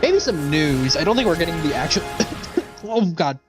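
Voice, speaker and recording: A male game streamer with a slightly high voice rambles casually to his chat and swears freely. Music plays clearly behind him. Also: big pitch swings, moderate pace. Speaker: video game livestreamer. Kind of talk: casual chat with viewers while playing.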